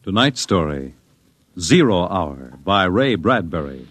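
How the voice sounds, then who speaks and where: A man's voice: deep voice, sing-song, slow pace, heavy background noise. An American man announcing a programme in a grand, declamatory 1950s radio style. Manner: dramatic, declamatory. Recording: dull vintage radio recording. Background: prominent music.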